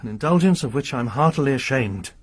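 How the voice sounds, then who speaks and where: An actor playing a grim, pious lodger, a grieving father bent on revenge, who talks in a formal, preaching way with a husky voice. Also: medium pitch, lively intonation, a little fast, no echo. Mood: brooding. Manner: formal, sermonizing, quotes scripture. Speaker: lodger under arrest. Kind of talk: radio drama acting.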